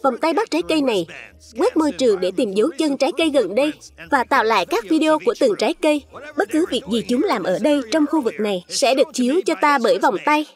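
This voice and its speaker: A woman's voice: high-pitched, lively intonation, fast talker. A woman voicing a scheming, boastful male villain in a cartoon dub, giving commands. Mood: gloating. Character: scheming villainous man hunting fruit creatures for jam.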